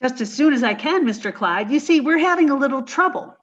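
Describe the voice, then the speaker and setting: Woman doing a scatterbrained young secretary, high bright voice, swooping sing-song lines, dull lo-fi sound. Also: animated, a little fast. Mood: cheerful, dizzy. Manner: breathless, dizzy, sing-song delivery. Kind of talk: 1950s radio comedy acting.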